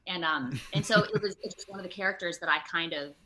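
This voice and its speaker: Lady actor guest on a casual online interview, bright forceful voice, kinda low, really emphatic. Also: quick pace, a little hiss or hum, poor audio. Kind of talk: casual video interview chat. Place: remote online video call.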